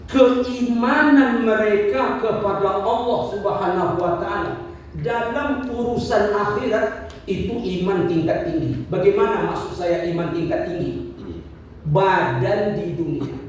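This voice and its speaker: A man's voice: high voice, a little slow, slight background noise. A man in his fifties giving a religious lecture, asking rhetorical questions, repeating his points and joking lightly with the congregation. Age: 50s. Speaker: preacher. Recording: echoey hall from far off.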